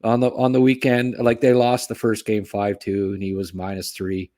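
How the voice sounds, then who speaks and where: A man's voice: fairly low pitch, completely flat delivery, moderate pace, a little mellow. A man co-hosting a sports podcast, giving casual, chatty hockey analysis and often tagging remarks with "eh". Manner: chatty, tags remarks with "eh", chuckles at own asides.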